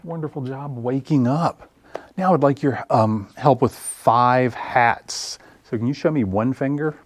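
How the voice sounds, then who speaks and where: A man hosting a story time for young children, reading aloud with deliberate, simple phrasing. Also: mid-range voice. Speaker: library story time host.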